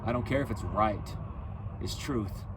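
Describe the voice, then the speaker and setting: A man delivering a rhythmic, rhyming spoken-word freestyle with a preachy, emphatic and loud delivery. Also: lowish voice, unhurried, noisy background. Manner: loud, near-yelling delivery.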